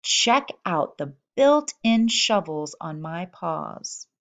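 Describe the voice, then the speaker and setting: A woman voicing a cheerful, proud young wombat in a picture book, with a hearty, deliberate delivery. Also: lowish voice, very animated, fairly bright tone, full character voice.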